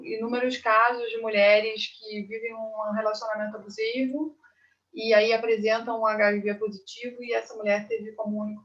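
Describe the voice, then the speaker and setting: Casual: patient woman doc talks it through, steady flat delivery, dull distant call sound. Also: a little high. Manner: patient, explanatory, many "né"s. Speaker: gynecologist. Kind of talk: explaining on a video call. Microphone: video call. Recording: muffled distant video call audio.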